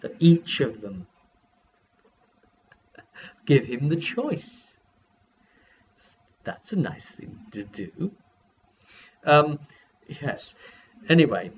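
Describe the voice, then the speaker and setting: A man's voice: medium pitch, fairly bright tone. A man who works as a game master, casually recapping a role-playing session and thinking aloud in a rambling way. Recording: dull lo-fi sound with background noise.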